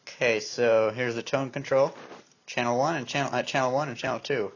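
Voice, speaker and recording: A man's voice: medium pitch, fairly even intonation, brisk. A man giving a casual, unscripted show-and-tell, musing aloud to himself as he handles gear, recorded indoors on a handheld camera's microphone. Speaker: vlogger showing a collection of tape recorders. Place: indoor room at home. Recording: dull, noisy handheld camera sound with clicks.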